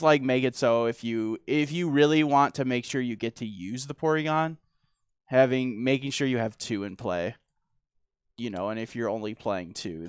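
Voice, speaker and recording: A man, a guest player chatting casually while playing a card game, his voice going hoarse. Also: mid-range voice, dry recording, studio quality. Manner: relaxed, lots of "like" and "dude".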